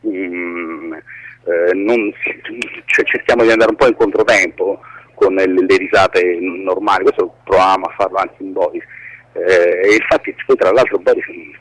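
A man's voice: low-pitched, a bit bright, no echo, rough recording. A man with a Roman Italian accent giving a casual, unscripted interview as a screenwriter, rambling and correcting himself in colloquial speech.

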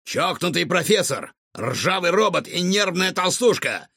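A man's voice: sits high, big pitch swings, a little slow, creaky, bright, forceful tone, slightly rough, no room sound, clean studio sound, pitched above the performer's own voice, heavily acted. A man voicing a brave young male raccoon who speaks boldly and challengingly. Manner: bold, challenging.

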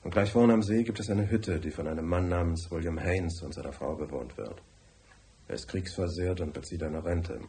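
Deep-voiced, brusque businessman. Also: moderate pace, dark tone, slight background noise. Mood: terse, confiding. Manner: curt, formal, bossy. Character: brusque, tight-fisted, wealthy businessman client. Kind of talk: radio drama acting.

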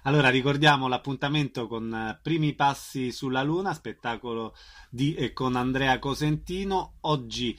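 A man's voice: mid-range voice, normal speed, fairly bright tone. A man hosting a radio interview, putting questions to his guest and reading out announcements.

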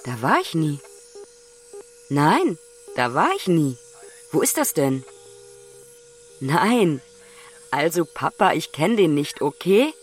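A woman playing an exasperated grown daughter on the phone with her father in a radio play, impatient and pausing to listen. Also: deep voice, sing-song, slight background noise, full character voice. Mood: impatient, baffled. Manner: impatient, one-sided phone chat with pauses. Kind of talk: naturalistic radio play dialogue.

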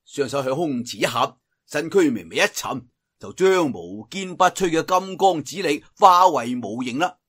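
A man's voice: a little high, animated, normal speed, fairly bright tone, slightly rough. A man with a Cantonese accent telling a martial arts story theatrically, drawing out phrases for emphasis. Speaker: audiobook storyteller.

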